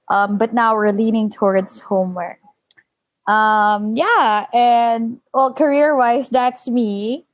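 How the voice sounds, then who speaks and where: A woman's voice: mid-range voice, dry recording. A woman in her thirties with a Filipino accent, a podcast guest who laughs often while talking over a video call. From Philippines. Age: 30s. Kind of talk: casual interview chat. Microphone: remote video call.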